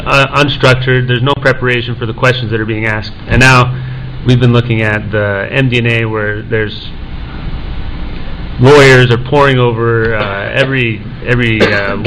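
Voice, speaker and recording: A young man speaks on a conference panel, stopping often and filling the gaps with "uh". His voice sits at a middle pitch, with a faint hiss of background noise under it. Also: a bit bright, poor audio. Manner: halting, many "uh" fillers and restarts. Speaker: panelist, assistant professor.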